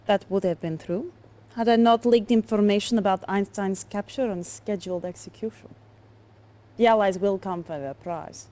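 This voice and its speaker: An actress playing a cool, shrewd Soviet spymaster, poised and pointed in a video game cutscene. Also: female voice, mid-range voice, moderate pace, warm tone, natural voice. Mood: smug, calculating. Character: cool, shrewd Soviet woman spymaster, self-assured and cunning.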